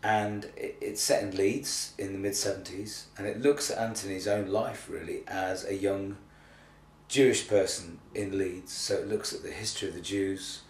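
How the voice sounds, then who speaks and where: A tired man with a cold and a slightly croaky voice, chatting hesitantly and self-deprecatingly in an unscripted video blog. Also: low voice, steady tone, moderate pace. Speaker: theatre artistic director giving a monthly video update. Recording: echoey, distant mic, faint noise.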